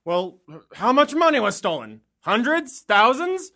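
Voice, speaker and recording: A woman voicing a self-assured male detective who talks in a dry, deliberate way. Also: a little high, very animated, sharp tone, full character voice. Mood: measured. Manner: dry, deliberate, ponders aloud. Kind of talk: amateur 1930s-style radio drama parody.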